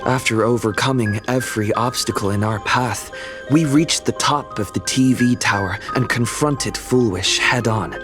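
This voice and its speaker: A man playing a young hero who soberly recounts his adventure in a measured, scripted game-story narration. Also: mid-range voice, over music, natural voice. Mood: solemn, measured.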